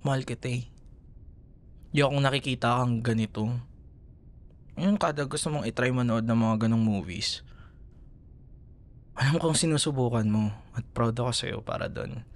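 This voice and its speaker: A man with a Filipino accent playing a gentle, protective young boyfriend in an intimate ASMR roleplay, soothing and murmuring tenderly. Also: mid-range voice, normal speed, pauses often, lightly acted. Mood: reassuring, concerned.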